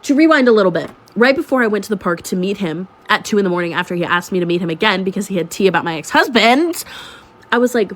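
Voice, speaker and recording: A woman's voice: mid-range voice, quick pace. Congested young woman, sarcastic storyteller. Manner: animated, sarcastic, dramatic retelling. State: sick, congested. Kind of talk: storytime vlog.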